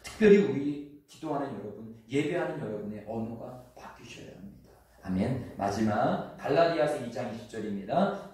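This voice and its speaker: A man's voice: medium pitch, darkish tone. A man with a Chungcheong accent preaching a sermon as pastor in a church, talking earnestly and conversationally and drawing out his sentence endings. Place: church sanctuary. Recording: echoey church hall from far off.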